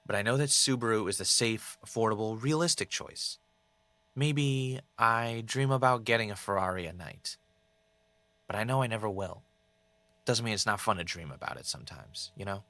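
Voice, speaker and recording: A man playing a brooding, paranoid podcaster in an audio drama, speaking in a halting, introspective, confessional way. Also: lowish voice, animated, pushed and bright, no echo. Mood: earnest, wistful. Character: brooding, paranoid male podcaster searching for answers. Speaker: podcast host character and narrator. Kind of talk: audio drama monologue and dialogue.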